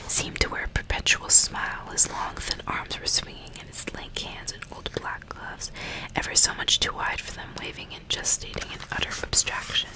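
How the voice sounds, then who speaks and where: A woman whispering in a breathy, unvoiced hush, as an ASMR host reading aloud in an unhurried way. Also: low-quality recording. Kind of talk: whispered ASMR audiobook reading with casual asides.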